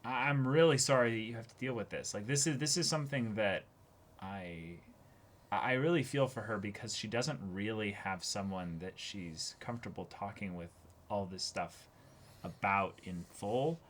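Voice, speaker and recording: A man co-hosting an anime reaction show, talking casually and haltingly, often repeating words as he thinks aloud. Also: lowish voice, fairly bright tone. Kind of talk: casual discussion of an anime episode.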